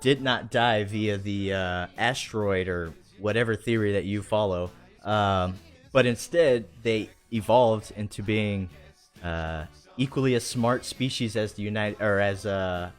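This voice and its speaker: A man's voice: on the low side, unhurried, clean tone. Enthusiastic rambling man hosting, faint music behind. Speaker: show host. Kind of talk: casual livestream chat.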